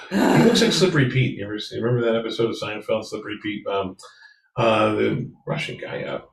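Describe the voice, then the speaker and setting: Low, gravelly American man bantering. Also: fairly quick, reverberant, far from the mic. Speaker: talk show guest, actor and improviser. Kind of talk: casual banter.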